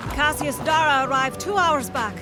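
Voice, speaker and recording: Woman playing an excitable high-voiced young stable hand. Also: moderate pace, music in the background, close to an everyday voice. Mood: urgent, alarmed. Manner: urgent, colloquial, exclaiming. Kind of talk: audio drama acting.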